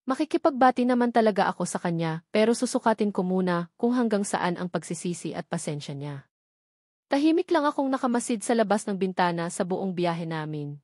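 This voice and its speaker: A woman's voice: mid-range voice, lively intonation, a little fast, a little mellow, dry recording, studio quality. A woman reading scripted first-person romance fiction as an audiobook narrator.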